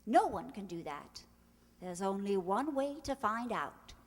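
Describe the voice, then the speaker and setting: Woman as a persuasive vintage radio saleswoman, animated. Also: mid-range voice. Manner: measured, persuasive. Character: gracious beauty-brand spokeswoman, confidential and persuasive. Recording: reverberant hall stage recording.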